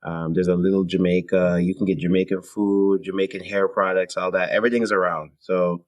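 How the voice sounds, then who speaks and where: A man from Canada, a guest musician in a podcast interview, talking in a relaxed, laid-back way with fillers and small laughs. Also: sits low, fairly quick. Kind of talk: casual interview talk.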